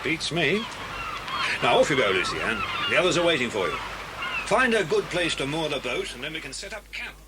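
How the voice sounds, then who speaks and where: Jovial english grown-up adventurer, highish lively voice, bossy-kind, loud rumble on old cassette. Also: male voice, moderate pace. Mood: calm. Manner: hearty, instructive. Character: jovial, capable grown-up man, children's protective adventuring friend. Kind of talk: 1980s children's audio drama acting. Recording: noisy cassette, engine rumble behind.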